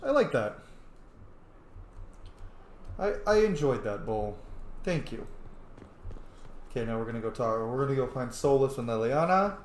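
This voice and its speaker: A man's voice: medium pitch, expressive, unhurried, pauses often, reverberant, a little hiss or hum. A man hosting a casual game playthrough, chatting and reacting aloud. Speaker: gaming host.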